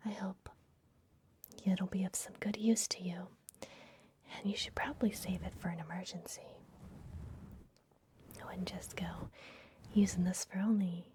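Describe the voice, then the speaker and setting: A woman playing a gentle, secretive vampire librarian in an ASMR fantasy roleplay, speaking in a soft, breathy, confiding whisper. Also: mid-range voice, fairly even intonation, a little hiss or hum, lightly acted. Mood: secretive, reassuring. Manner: gentle, hushed, confiding, unhurried.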